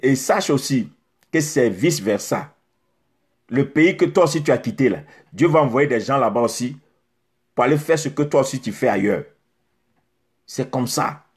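Husky-voiced man preaching to the camera. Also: medium pitch, animated, normal speed, long pauses. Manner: exhorting, rhetorical questions, emphatic repetition. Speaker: pastor. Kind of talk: preaching sermon. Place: indoors in front of a beach backdrop.

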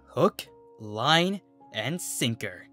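A narrator puts on a mischievous little dragon boy from a cartoon. He is theatrical and scheming, bright in tone, with big swings in pitch and music behind. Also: big pitch swings, extremely slow, exaggerated voice. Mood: sly. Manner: theatrical, exaggerated.

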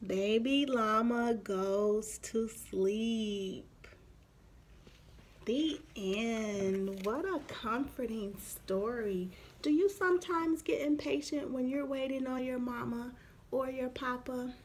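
A woman in her thirties reading a picture book aloud expressively for young children, pausing for explanatory asides. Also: a little high, slight background noise. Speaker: children's story reader. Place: small room at home, indoors.

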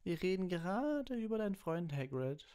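A man's voice: sits high, very animated, moderate pace, mellow, clear, resonant tone, pitched above the performer's own voice. A man voicing a sneering, taunting teenage schoolboy bully who is easily rattled. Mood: sneering, mocking.

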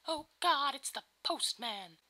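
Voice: sits high, animated, slow pace, bright, forceful tone, no room sound, full character voice. An amateur performer voicing a dim, breezy young pirate girl, casual and slangy, in a video game parody. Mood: reluctant, annoyed.

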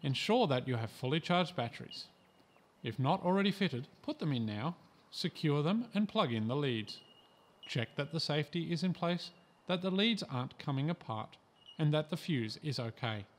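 A man from Australia reading a scripted training voice-over in a calm, measured, instructive way. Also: on the high side. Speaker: instructional narrator.

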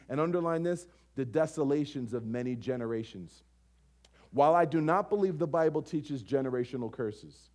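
A man's voice: a little high, dark tone. An American man preaching a sermon as a pastor, emphatic, leaning on repetition and rhetorical questions. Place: church stage at a lectern, indoors.

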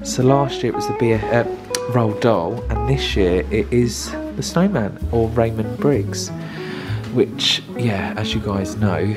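A man's voice: on the low side, a little slow. A young man with an English accent talking enthusiastically and casually to a camera held at arm's length while out and about. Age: young adult. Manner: enthusiastic, chatty. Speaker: travel vlogger. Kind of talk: casual vlog chat to camera. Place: outdoors in castle grounds and parkland, some inside a shop. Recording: music playing behind the voice.

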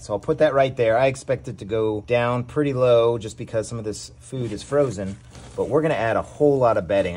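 A man hosting a casual how-to video, calmly explaining each step as he works on his back porch. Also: lowish voice, a little hiss or hum. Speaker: worm farming channel host. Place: outdoor covered back porch.

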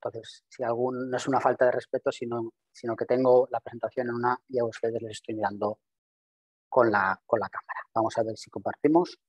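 A man in his thirties presents a webinar over a video call in a language that is not his first. He talks quickly, often restarting and repeating words as he goes. His voice sounds dry and close, at an ordinary pitch. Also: level tone, no room sound. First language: not Spanish. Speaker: webinar presenter, systems engineer. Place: home office with virtual background. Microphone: video call webcam.

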